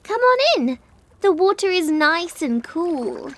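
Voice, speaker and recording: A woman's voice: extremely high pitch, very animated, takes it slowly, a bit bright, exaggerated voice. A woman voicing an innocent, playful young unicorn filly, chatty and earnest, in a dramatic story reading. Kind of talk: dramatic reading of fan fiction.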